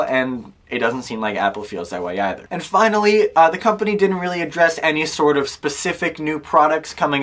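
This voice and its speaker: A man's voice: fairly high pitch, a bit bright. A man presenting daily tech news in an upbeat, casual, enthusiastic style, speaking directly to viewers as the show's host. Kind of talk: upbeat scripted tech news delivery to camera.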